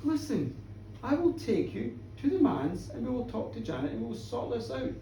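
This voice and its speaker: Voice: mid-range voice, very animated, moderate pace, dark tone, reverberant, far from the mic, slight background noise, poor audio. A storyteller playing an earnest, easily flustered Protestant minister, repeating words insistently in indignation.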